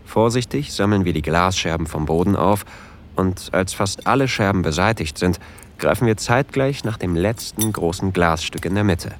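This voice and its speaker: An actor playing an introverted, easily flustered train traveler who tells of a romantic encounter in an intimate audio drama. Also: male voice, deep voice, lively intonation, moderate pace, slight background noise. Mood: intimate, longing.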